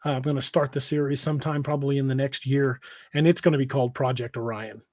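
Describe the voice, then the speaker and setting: A man casually hosting a livestream, reading out comments and chatting in a halting, hesitant way. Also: mid-range voice, fairly even intonation, darkish tone, dry recording, clean studio sound. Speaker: podcast host and novelist. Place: indoors on an internet livestream video call.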